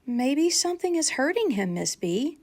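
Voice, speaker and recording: Woman doing an earnest, higher-pitched young schoolchild. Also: sing-song, normal speed, darkish tone. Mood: worried. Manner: earnest, simple questioning.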